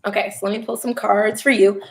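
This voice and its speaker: Hoarse young woman reading tarot live. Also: mid-range voice, little pitch movement, fast. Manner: chatty, laughs often, swears casually. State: hoarse, losing her voice. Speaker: tarot reader hosting a live stream. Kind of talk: casual live-stream chat and tarot reading.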